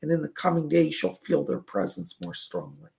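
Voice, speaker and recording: A raspy man with a heavily gravelly, crackling edge to his voice, talking as a psychic medium during live readings. His pitch swings widely up and down as he chats along in a soothing, reassuring way. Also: sing-song, moderate pace, darkish tone.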